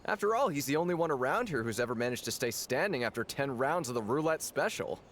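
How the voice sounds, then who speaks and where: A man voicing an affable, theatrical tavern owner in a video game, with a playful, jovial and expressive manner. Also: mid-range voice, fast talker, slight background noise, natural voice. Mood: easygoing, assured. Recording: music behind the voice.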